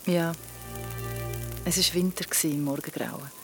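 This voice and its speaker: An actress with a Swiss German accent playing a haunted, shaken woman in an audio drama, halting and earnest. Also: sits low, fairly even intonation, fairly bright tone. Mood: grieving, subdued. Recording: music behind the voice.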